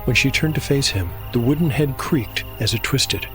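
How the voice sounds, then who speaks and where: A man narrates a scripted fantasy drama as its host. His delivery is measured and solemn, in a mid-range voice, with faint music underneath. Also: medium pitch, lively intonation. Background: background music.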